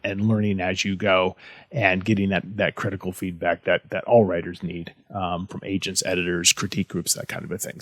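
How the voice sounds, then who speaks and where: A man's voice: fairly low pitch, no room sound, studio quality. A man hosting a casual interview podcast, rambling with many restarts and fillers. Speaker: podcast host.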